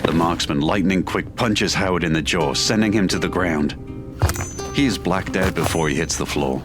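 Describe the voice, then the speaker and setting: A man's voice: low voice, moderate pace. A man reading scripted, dramatic story narration for an audio drama. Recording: music playing behind the narration.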